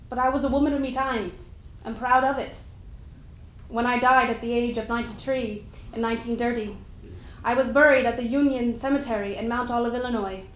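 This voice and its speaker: A woman's voice: a little high, a little hiss or hum, lightly acted. Woman playing feisty old labor organizer, echoey. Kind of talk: first-person historical monologue, staged dramatic reading. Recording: echoey room.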